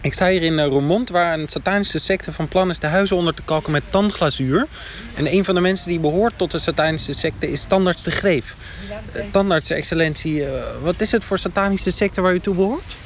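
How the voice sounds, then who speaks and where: A man's voice: sits high, brisk, close to an everyday voice. A man playing a polite, hesitant radio reporter doing a mock interview on location. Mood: polite, serious. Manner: hesitant, deferential, serious. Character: polite, deferential male radio field reporter. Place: outdoors in a street. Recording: street noise behind.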